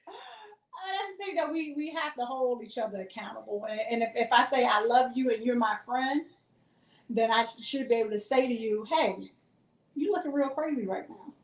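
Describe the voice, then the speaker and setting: A woman co-hosting a podcast in casual chat, agreeable and quick to affirm. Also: on the high side. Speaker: podcast co-host. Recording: echoey room, far from mic.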